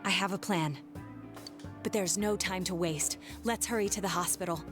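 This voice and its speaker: An actress playing a young detective in a video game, with precise, formal, analytical phrasing. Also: female voice, fairly low pitch, steady tone, moderate pace, lightly acted. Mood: resolute. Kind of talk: English video game dub acting. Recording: game music behind the voice.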